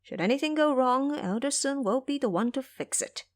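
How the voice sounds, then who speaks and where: A woman's voice: sits high, expressive, moderate pace, pitched above the performer's own voice. A woman voicing a brash, cocky male monkey disciple in an audio drama, boastful and carefree. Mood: dismissive, confident.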